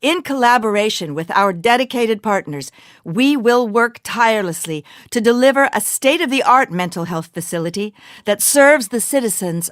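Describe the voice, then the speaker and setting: A woman's voice: mid-range voice, a bit bright. A woman playing an earnest civic speaker in a video game, delivering a formal scripted public speech. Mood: formal, proud.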